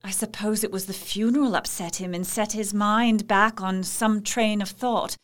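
A woman's voice: medium pitch, steady tone, moderate pace, lightly acted. An actress playing a devoted young Victorian wife, anxious but resolute, reading her journal earnestly and carefully in a radio play. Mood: troubled, resolute.

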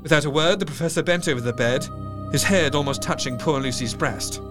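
A man performs a Victorian doctor recounting dark events from a diary, solemn and shaken. The voice sits slightly high for a man, with measured literary phrasing and music underneath. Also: natural voice. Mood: grave, horrified. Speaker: actor narrating in character. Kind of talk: dramatic scripted first-person narration.

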